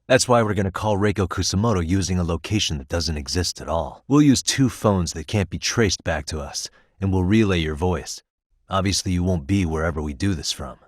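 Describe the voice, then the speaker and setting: Video game private eye, thirties, deep register, level-headed, businesslike and determined, dry studio sound. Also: male voice, dry recording. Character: shrewd, level-headed male private detective in his thirties. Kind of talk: English video game voice acting.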